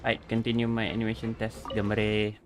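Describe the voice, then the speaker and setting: A man's voice: on the low side, monotone, fairly quick. A male streamer giving casual, laid-back commentary while he plays, laughing and swearing freely. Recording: game music behind.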